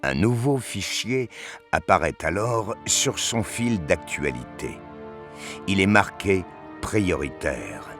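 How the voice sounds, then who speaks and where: A man's voice: deep voice, expressive, takes it slowly, music in the background. A man reading scripted narration for a science fiction audio drama.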